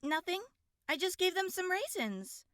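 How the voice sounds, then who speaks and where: A woman's voice: very high-pitched, very animated, measured pace, fairly bright tone, full character voice. A woman playing an innocent, apologetic little girl in an audio drama, with a childlike, pleading way of talking. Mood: sheepish.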